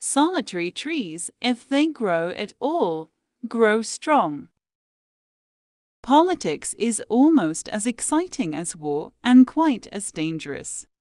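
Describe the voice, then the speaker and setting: Slow, lively-pitched woman reading quotes. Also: dry recording, studio quality. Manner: measured, deliberate reading with pauses between phrases. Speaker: quote reader.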